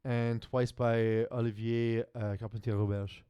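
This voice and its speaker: Animated man talking sports, dry mic. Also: medium pitch, clear, resonant tone, dry recording, highs cut off. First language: not French. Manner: animated, quick asides, restarts. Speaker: podcast co-host. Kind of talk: casual sports chat.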